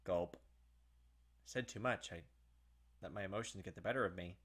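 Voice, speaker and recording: A man's voice: low voice, normal speed, a bit bright, husky. A man reading a story aloud expressively as a gaming host, breaking into casual, sweary commentary. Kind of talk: dramatic read-aloud narration with casual commentary.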